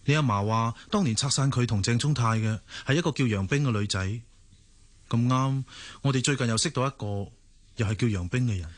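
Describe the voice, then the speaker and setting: A man's voice: mid-range voice, a little fast, no echo, lightly acted. An actor with a Hong Kong Cantonese accent plays an earnest, puzzled young man in a radio drama. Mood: reflective.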